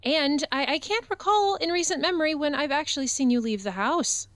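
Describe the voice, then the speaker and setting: A woman's voice: high-pitched, brisk, dry recording, lightly acted. A woman acting a young, nagging roommate in an audio comedy, scolding and teasing in a wry, theatrical way.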